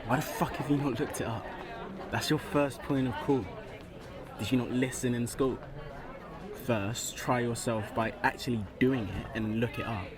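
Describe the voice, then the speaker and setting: An actor playing a bossy but supportive teenage friend in a naturalistic radio play, speaking in a casual, blunt, teasing way. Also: male voice, medium pitch, fairly even intonation, normal speed, a crowd in the background, lightly acted.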